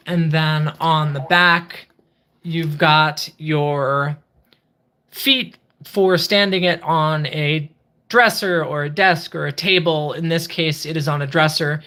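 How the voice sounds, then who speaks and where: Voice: medium pitch, bright, forceful tone. Methodical livestream host reviewing gear. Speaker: video channel host, product reviewer. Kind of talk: casual livestream product review and channel update.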